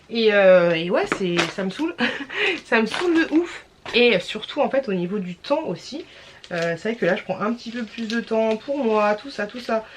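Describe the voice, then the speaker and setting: A young woman chats into her camera at home, her voice stuffy and worn from a cold. She rambles, restarts and fills the gaps with "euh." A faint hiss sits under the recording. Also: mid-range voice. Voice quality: tired, stuffy. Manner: rambling, lots of fillers and restarts. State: has a cold. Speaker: vlogger. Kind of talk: casual vlog chat.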